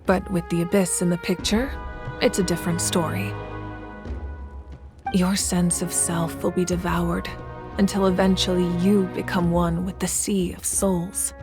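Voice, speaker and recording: An actress playing a patient fire goddess ruler in a video game, explaining things in a measured, gentle way. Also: female voice, fairly low pitch. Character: female fire goddess ruler, calm and protective. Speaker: Pyro Archon.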